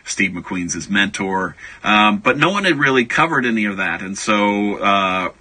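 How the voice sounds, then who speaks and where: Author guy being interviewed on radio, super bright crisp-edged tone but husky, explains stuff calmly. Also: on the low side, normal speed, low-quality recording.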